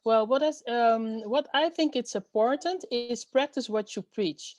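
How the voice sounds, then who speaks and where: A middle-aged woman from the Netherlands, answering interview questions earnestly with many pauses and fillers. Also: fairly high pitch, darkish tone. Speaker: guest, storyteller and event host.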